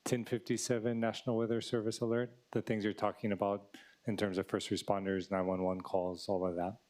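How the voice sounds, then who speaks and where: Councilmember, male, low voice, monotone, measured questioning.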